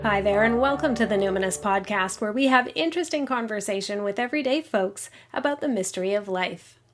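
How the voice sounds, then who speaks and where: A woman's voice: medium pitch, expressive, brisk, over music. A woman hosting a podcast who introduces her guest and asks curious questions. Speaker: podcast host. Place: office.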